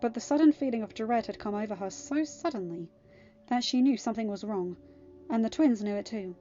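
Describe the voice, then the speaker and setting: A woman's voice: on the high side, fairly quick, warm tone, lo-fi sound. A woman reading a scripted story aloud as narrator of an audiobook. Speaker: audiobook reader of fan fiction. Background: background music.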